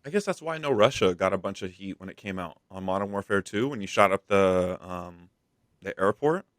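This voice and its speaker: A man's voice: low-pitched, animated, fairly quick, dry recording, clean studio sound. A man co-hosting a podcast, talking through gaming news in a casual, slangy, rambling way. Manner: rambling, slangy, frequent "like" and "dude". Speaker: podcast co-host.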